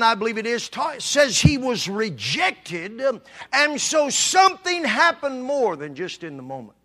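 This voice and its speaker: A man preaching a sermon, swinging from quiet talk to loud, shouted passages. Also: sits high. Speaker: pastor.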